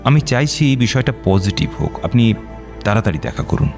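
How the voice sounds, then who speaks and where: Soft music sits behind a doctor in a radio drama. His voice is fairly low, and he speaks deliberately and reassuringly, pausing as he explains. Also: very animated, gravelly. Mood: informative, measured. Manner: deliberate, explanatory, with measured pauses. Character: measured, reassuring male doctor counselling a client. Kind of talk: Bengali radio drama acting.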